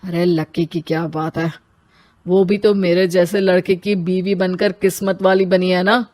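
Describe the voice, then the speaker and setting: A woman's voice: lowish voice, flat delivery, unhurried, darkish tone, no room sound, pitched below the performer's own voice. A woman voicing an arrogant, stubborn young village husband in a cartoon story, curt and self-important. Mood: smug.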